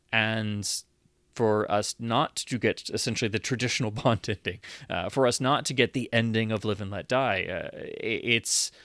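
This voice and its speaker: A man giving an unscripted, analytical book review, speaking thoughtfully and haltingly as he works through his points. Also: lowish voice, fairly bright tone, no echo. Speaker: book reviewer, channel host.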